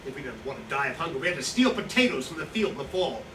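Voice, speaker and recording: A man's voice: a little high, very animated, rapid-fire. Man acting a bitter dying old man, roomy and distant. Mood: bitter, reminiscing. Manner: bitter, snappish outbursts. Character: dying, irritable, melodramatic old man in hospital bed. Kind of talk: staged play reading. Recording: roomy, distant mic, noisy live recording.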